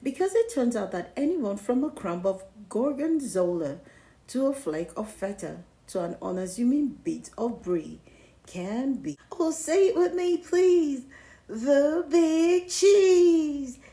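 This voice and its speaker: Woman acting boastful cheese character, children's storybook, theatrical, very animated, unhurried. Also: high voice, voice pushed up, full character voice. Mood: gleeful. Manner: theatrical, exaggerated, wailing to hushed. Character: boastful, dramatic wheel of cheddar learning humility. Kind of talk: first-person children's storybook read-aloud.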